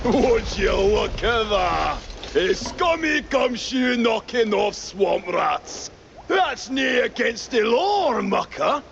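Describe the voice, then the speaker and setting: A jeering cartoon villain, extremely high and gravelly, menacing and loud. Each phrase is dragged out slowly, over very heavy noise. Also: male voice, very animated, heavily acted. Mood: sneering. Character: taunting, menacing cartoon villain hunting the detective. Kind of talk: game cartoon villain acting. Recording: muffled, very noisy, vehicle din.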